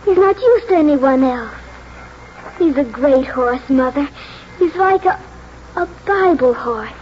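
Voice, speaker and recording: Eager, high-voiced young girl, old radio. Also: animated, unhurried, a little hiss or hum. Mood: fervent, determined. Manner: earnest, breathless, pleading. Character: eager, earnest horse-loving young girl jockey. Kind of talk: 1940s radio drama acting. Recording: muffled old 1940s radio broadcast, music.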